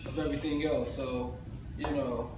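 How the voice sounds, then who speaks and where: A man's voice: mid-range voice, level tone, normal speed. A man, co-hosting a casual chat as a realtor, talking in a laid-back, joking way. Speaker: realtor co-host. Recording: echoey room from far off, noisy.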